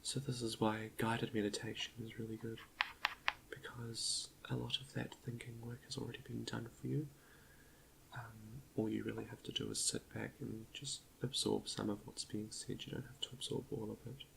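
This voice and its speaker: A man quietly leading an ASMR guided meditation in a soft half-whisper, gentle and a little hesitant, close to the microphone with chalk clicks behind him. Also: fairly low pitch, little pitch movement, normal speed, a little hiss or hum, low-quality recording. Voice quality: soft, breathy half-whisper with creaky fry.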